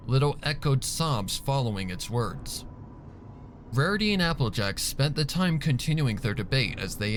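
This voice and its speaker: A man's voice: mid-range voice, slight background noise. A man reading a story aloud as scripted, dramatic narration. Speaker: fan fiction narrator. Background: background music.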